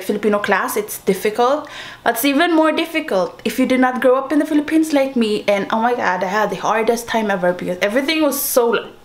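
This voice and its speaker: A young woman from Sweden tells a story to her viewers in a loose, chatty way, full of fillers, restarts and little laughs. Her voice sits a touch higher than most. She talks into a camera-mounted mic. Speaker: vlogger. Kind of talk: casual storytelling mukbang vlog. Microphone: camera-mounted shotgun mic.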